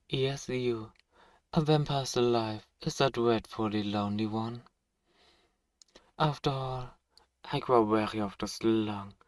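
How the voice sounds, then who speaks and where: Sadistic vampire lord male actor, courtly and possessive; unhurried, mock-tender delivery, very close and dry, for ASMR roleplay. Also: medium pitch, no room sound, natural voice. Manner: formal, courtly, mockingly tender. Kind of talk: ASMR roleplay audio drama.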